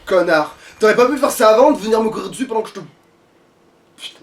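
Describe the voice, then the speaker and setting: A man's voice: high-pitched, expressive, fast. A man giving casual commentary as he plays a video game, grumbling and swearing freely. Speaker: let's play gamer. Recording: distant mic with faint noise.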